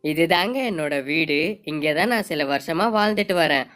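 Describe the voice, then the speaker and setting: A woman's voice: low-pitched, normal speed. A woman voicing a humble, eager young male parrot in a cartoon, very polite and deferential. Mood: earnest, polite. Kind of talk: Tamil moral-story cartoon dub. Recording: loud background music.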